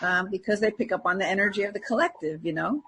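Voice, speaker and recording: A woman's voice: medium pitch, quick pace, fairly bright tone, a little hiss or hum. A woman co-hosting a podcast, explaining things conversationally and encouragingly, often checking for agreement. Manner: encouraging, often seeks agreement with tag questions. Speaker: podcast co-host, spiritual energy coach.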